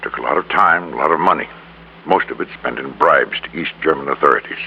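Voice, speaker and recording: A man's voice: extremely low pitch, fairly quick, gravelly. An actor playing a greedy, cornered businessman who turns evasive and self-pitying in a 1950s radio drama. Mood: bitter, agitated. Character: cornered, greedy male businessman, evasive, then confessing murderer. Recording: muffled old radio recording, hum, hiss.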